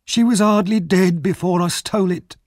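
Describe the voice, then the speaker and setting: A man's voice: sits high, fairly even intonation, normal speed, darkish tone, no room sound, clean studio sound, voice pushed up, close to an everyday voice. A man voicing a frail, dying old woman in an audiobook, her voice cracked and wavering. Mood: guilty, urgent.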